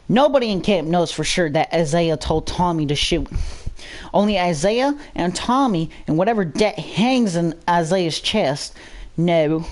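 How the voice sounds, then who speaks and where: Female story narrator, slightly low register, dramatic scripted read. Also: a little mellow.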